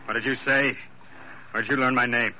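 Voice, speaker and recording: An actor playing an armed, suspicious swindler in an old radio thriller, curt, demanding and threatening. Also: male voice, medium pitch, steady tone, moderate pace, sharp tone. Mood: menacing, suspicious. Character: armed, suspicious swindler, a hard desperate villain. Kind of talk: old-time radio drama acting. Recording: dull, faintly noisy vintage radio recording.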